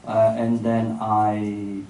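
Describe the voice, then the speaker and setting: Low-voiced man, reflective, distant and echoey. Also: completely flat delivery, warm tone. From Hong Kong. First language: Cantonese. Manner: reflective, halting, searching for words. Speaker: filmmaker guest in a public dialogue. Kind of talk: reflective public conversation. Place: event room. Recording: echoey room, distant mic, faint noise.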